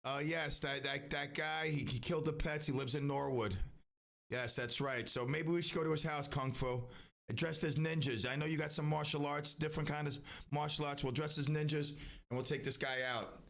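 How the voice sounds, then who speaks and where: A man's voice: a little high, steady tone, moderate pace, gravelly, fairly bright tone. A man hosting a live stream, talking in a casual, rambling way with many restarts and asides.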